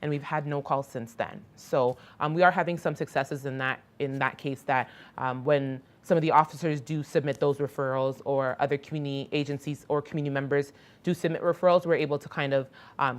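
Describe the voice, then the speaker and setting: Low-voiced hesitant woman presenting. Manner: hesitant, many ums and restarts. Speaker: presenter on a crisis outreach program. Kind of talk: conference presentation. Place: hospital conference room.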